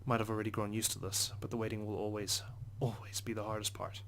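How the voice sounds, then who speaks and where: A man narrates a game story aloud in a low, flat voice at a quick pace. He reads earnestly, stumbling now and then, with some background noise. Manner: earnest, occasional stumbles while reading. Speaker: let's play host reading a visual novel aloud. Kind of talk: narration read aloud in first person.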